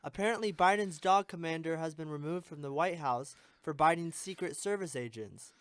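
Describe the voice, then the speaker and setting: A man co-hosting a scripted parody newscast, reading jokes in a halting way and stumbling over the script. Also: fairly high pitch, no echo. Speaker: sketch comedy news co-host.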